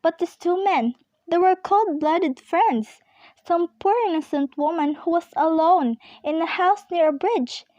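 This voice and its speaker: Woman acting high-voiced, fretful, demanding invalid wife. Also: a little slow, mellow, no room sound, lo-fi sound. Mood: agitated. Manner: fretful, imperious, stammering. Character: anxious, demanding invalid wife, alone at night. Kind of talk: amateur radio play acting.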